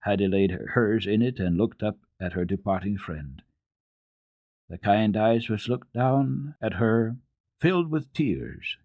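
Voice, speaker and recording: A man narrating children's literature as a radio storyteller, reading dramatically in an expressive, deliberate way. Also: lowish voice, dry recording.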